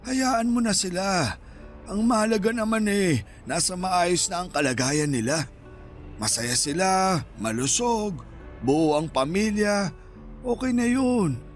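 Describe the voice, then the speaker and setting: An actor playing an elderly, selfless father in a radio drama, speaking in a calm, accepting, reassuring manner. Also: high voice, measured pace, pauses often, faint music behind. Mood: gently reassuring. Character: elderly father, a gentle, selfless, contented street vendor.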